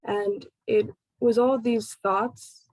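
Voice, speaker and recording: Young woman, slow and halting personal talk, dry close sound. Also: a little high, steady tone, a little mellow, dry recording, low-quality recording. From United States. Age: young adult. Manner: slow, halting, pausing between phrases. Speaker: speaker sharing her personal story. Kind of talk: personal talk to a support group.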